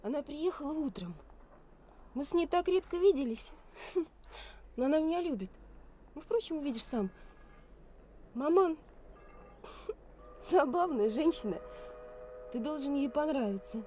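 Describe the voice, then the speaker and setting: An actress playing a lively, teasing young fiancee in a radio play, coaxing and insisting. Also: female voice, high voice, a little slow, long pauses, slight background noise. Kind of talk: 1980s Soviet radio play acting. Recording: theatrical radio play with music behind.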